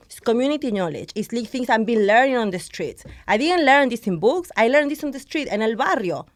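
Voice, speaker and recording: A woman's voice: a little high, animated, fairly quick, no room sound. Passionate woman guest, dry sound. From Argentina. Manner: passionate, switches into Spanish. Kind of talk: podcast conversation.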